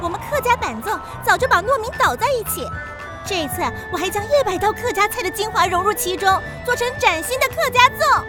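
A woman's voice: very high voice, expressive, a little fast, voice pushed up. A woman playing an indignant, proud female cooking contestant in a children's radio drama. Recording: music behind the voice.

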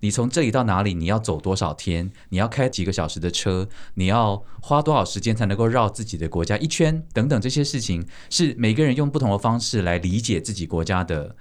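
A man's voice: fairly low pitch, lively intonation, a little fast, few pauses. A man with a Taiwanese Mandarin accent talking casually and reflectively as a podcast host. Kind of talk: casual conversational talk.

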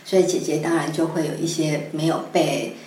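A woman guest talks in Taiwanese Mandarin in a slightly low voice. Her explanations are conversational and hesitant, assembled as she goes. The recording is noticeably echoey, with faint noise behind her. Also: steady tone, brisk, dark tone. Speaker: guest scriptwriter.

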